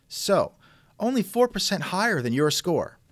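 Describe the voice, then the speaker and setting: Wry man with lively pitch swings. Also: unhurried, no room sound, clean studio sound. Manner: wry, skeptical. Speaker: interviewer, host. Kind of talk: reenacted text-chat interview, conversational.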